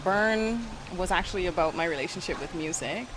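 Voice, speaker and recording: Low-voiced woman, noisy background. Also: expressive, a little fast. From Grenada, raised in Barbados. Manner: chatty, laughs easily. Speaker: singer-songwriter guest. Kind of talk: casual interview. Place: outdoor arts event.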